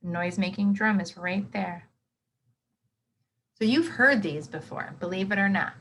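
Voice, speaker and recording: A woman's voice: mid-range voice, moderate pace, a bit bright, a little hiss or hum. A woman reading a nonfiction book aloud to children, expressively, with simple explanations and reactions as a teacher would.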